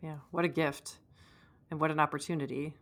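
Woman, very low pitch, podcast host, conversational interview style, attentive, affirming. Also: little pitch movement, fairly quick, a bit bright.

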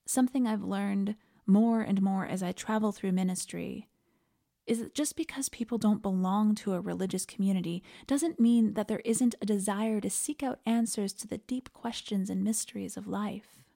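A woman minister delivering a reflective scripted sermon in a measured, earnest way. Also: mid-range voice, gravelly, warm tone. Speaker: minister preaching, podcast host.

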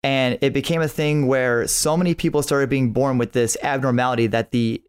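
A man's voice: medium pitch, steady tone, moderate pace, smooth. A man guesting on a podcast, explaining things in a casual, earnest way.

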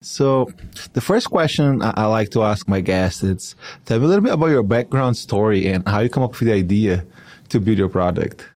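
A man's voice: lowish voice, expressive, fairly quick, a little mellow, no echo. A man hosting a podcast interview, upbeat and friendly, often stumbling over his words. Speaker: podcast host.

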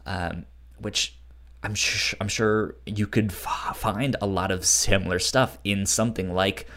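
A man's voice: lowish voice, fairly even intonation, a little slow, a bit bright. A man co-hosting a podcast, discussing a book casually, with a frequent stutter that repeats sounds and syllables. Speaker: podcast co-host.

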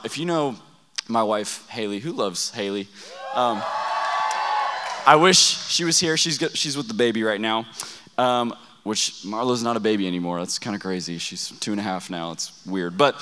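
Hyped young pastor in a reverberant hall. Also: male voice, fairly low pitch, sharp tone. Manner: hyped, calls for crowd responses. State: losing his voice. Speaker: youth camp pastor. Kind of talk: energetic youth sermon.

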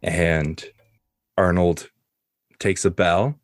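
Low voiced guy game mastering, improvised narration, recorded dry n close. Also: fairly even intonation, drawn-out words, no room sound.

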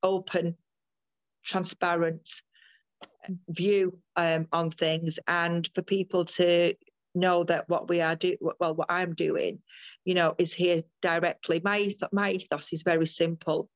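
A woman's voice: fairly low pitch, flat delivery, a little slow, no echo, lo-fi sound. An older woman from the UK, a midwife, talking earnestly about her work as a guest in an interview, with frequent ums and restarts. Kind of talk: interview over a call.

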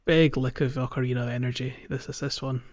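A man's voice: medium pitch, fairly even intonation, brisk, darkish tone. Male podcast host, slightly dull recording. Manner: informal, explanatory. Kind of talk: casual game discussion. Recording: slightly dull, lo-fi microphone sound. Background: faint music.

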